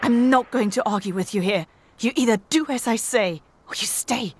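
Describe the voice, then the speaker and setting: A woman's voice: on the high side, normal speed, slight background noise, lightly acted. A woman playing a hard, threatening survivor in an audio drama, curt and urgent in manner. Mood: cold, threatening. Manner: curt, menacing, hushed urgency. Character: hard, threatening female survivor guarding a boy.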